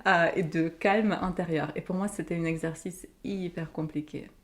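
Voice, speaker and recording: This is a young woman, talking as a podcast guest. She sits low in her range and tells her stories in an emotional, animated way, breaking into laughter now and then. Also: moderate pace. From Ukraine. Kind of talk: casual interview talk.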